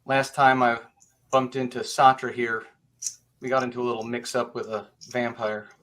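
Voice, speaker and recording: A man's voice: mid-range voice, flat delivery, normal speed, fairly bright tone, lightly acted. A man playing an affable travelling cheese merchant and adventurer, improvising in a tabletop roleplaying game.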